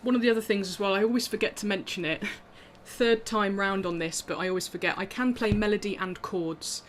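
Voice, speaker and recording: A woman's voice: mid-range voice, fairly even intonation, brisk, soft background music. A woman musician talking casually through her instruments on a video call, chatty and self-deprecating with frequent ums and little laughs.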